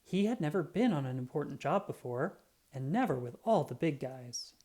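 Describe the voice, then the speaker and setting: Low, mellow woman reading a storybook expressively. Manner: expressive, drawn-out emphasis on sound words. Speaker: children's book reader. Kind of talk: animated read-aloud storytelling.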